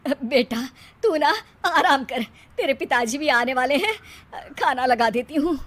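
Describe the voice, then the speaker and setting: Lady doing a caring middle-aged cartoon mom, high voice, gentle scolding advice. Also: unhurried. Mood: resigned. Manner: motherly, advising. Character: caring middle-aged mother, gently reproving her grown son.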